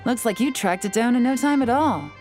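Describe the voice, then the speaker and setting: An actress playing a cool, self-assured female investigator in a video game, a shrewd mentor with a measured, knowing delivery. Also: on the high side, expressive, darkish tone, faint music behind, close to an everyday voice. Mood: approving.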